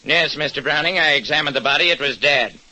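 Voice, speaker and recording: A shifty small-time doctor in a 1940s radio mystery. His voice is fairly high and piercingly sharp, and his speech is formal, evasive and hesitant. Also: fast, poor audio. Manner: formal, hesitant, throat-clearing. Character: Doc Reardon, evasive shabby neighborhood doctor, male. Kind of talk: 1940s radio detective drama acting.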